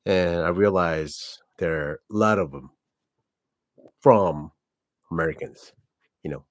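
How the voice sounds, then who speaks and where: Korean-accented guy in his 40s, really deep voice, lots of ums and restarts, dry close sound. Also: slow pace, dry recording. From South Korea. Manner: rambling, many fillers and self-corrections. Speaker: channel host. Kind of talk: casual personal vlog monologue.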